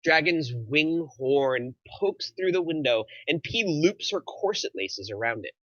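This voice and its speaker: A man with a bright, forceful voice at medium pitch reads scripted narration. He delivers screenplay action lines aloud, and the recording is dry and slightly dull. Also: lively intonation, measured pace, no echo.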